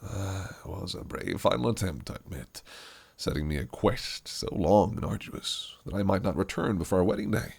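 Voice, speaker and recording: An actor playing a possessive, scheming fae prince who speaks in silky, archaic phrases that can turn menacing. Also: male voice, low voice, expressive, moderate pace, vocal fry, hardly any pauses, a little mellow, breathy edge, heavily acted. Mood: teasing. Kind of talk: audio roleplay acting.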